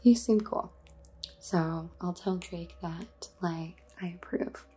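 A cool young vampire influencer played by a woman talks slowly in a mellow, slightly low voice. She sounds laid-back and offhand, as part of an ASMR roleplay. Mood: nonchalant.

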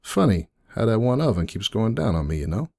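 A man voicing a jaded, rueful middle-aged pony who runs a donut shop, rambling folksily in a confiding dramatic monologue with a gravelly voice. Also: on the low side, sing-song, a little fast, a little mellow, exaggerated voice. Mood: sorrowful, rueful. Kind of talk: dramatic first-person monologue reading.

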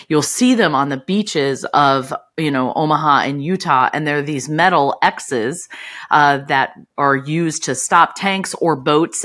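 A woman's voice: low-pitched, a bit bright. A middle-aged woman co-hosting a podcast over a video call, earnest and curious as she asks a guest questions. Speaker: podcast co-host. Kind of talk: casual interview chat. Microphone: video call, webcam.

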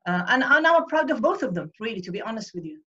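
A woman's voice: a little high, big pitch swings, quick pace, bright, forceful tone. A woman in her sixties from Iraq, earnestly recalling her life as a guest on a video call, often searching for words. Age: 60s. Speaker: guest speaker. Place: home room over a video call. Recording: dull lo-fi video-call audio.

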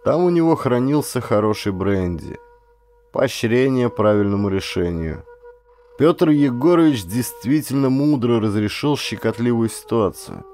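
A man reading an adventure audiobook as dramatic scripted narration, in an expressive, theatrical storytelling manner. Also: mid-range voice, measured pace, faint music behind.

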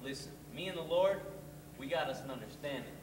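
A man's voice: on the high side, fairly quick, close to an everyday voice. A man on stage playing a brash, persuasive ex-con blues musician in a comedy, pushy and insistent. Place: theater stage, recorded from the audience. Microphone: far camera. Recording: echoey theater stage, distant noisy camera mic.

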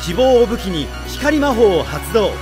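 A man's voice: high voice, big pitch swings, measured pace, music in the background. A man narrating dramatic anime character introductions like a theatrical announcer.

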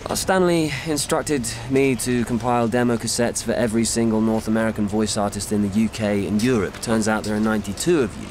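An actor playing a dry, loyal, overworked assistant to a film director in a radio drama, matter-of-fact and understated. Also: male voice, lowish voice, brisk, hardly any pauses, a little mellow, slight background noise, lightly acted. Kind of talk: naturalistic radio drama dialogue.

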